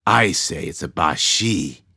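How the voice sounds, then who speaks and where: A man's voice: low voice, expressive, takes it slowly, sharp tone, husky, no echo, clean studio sound, full character voice. A man voicing a hostile, confident warrior who growls his words. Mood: defiant.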